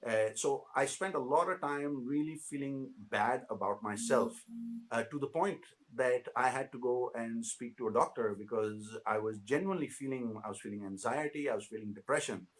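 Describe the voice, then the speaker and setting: A man's voice: mid-range voice, normal speed, rough recording. A man in his late thirties from Pakistan, an interview guest speaking thoughtfully with many fillers and restarts. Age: 30s. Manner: thoughtful, many fillers and restarts, slips into Hindi-Urdu phrases. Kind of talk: reflective conversational interview.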